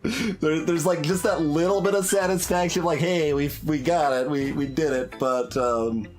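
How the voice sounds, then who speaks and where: A man's voice: a little high, fairly even intonation, normal speed. A man giving casual live commentary on a game playthrough, hesitant and thinking aloud as he talks. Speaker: game streamer. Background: game music, guitar.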